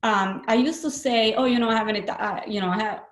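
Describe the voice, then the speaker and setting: A woman from Italy with an Italian accent, a webinar panelist answering candidly with self-deprecating, halting restarts. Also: on the high side, roomy sound.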